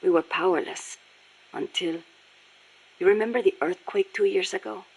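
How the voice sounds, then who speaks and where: A woman's voice: medium pitch, steady tone, moderate pace, creaky, darkish tone, breathy edge, rough recording. A woman voicing a passionate, embittered Nicaraguan Sandinista guerrilla with a Spanish accent in a video game, speaking in a solemn, earnest way. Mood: bitter. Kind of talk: scripted video game voice acting.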